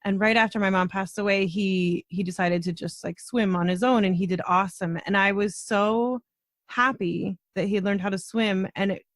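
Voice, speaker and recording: A woman's voice: mid-range voice, fairly even intonation, moderate pace, dry recording, clean studio sound. A woman hosting a podcast, speaking in a reflective, conversational way with frequent fillers. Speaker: podcast host.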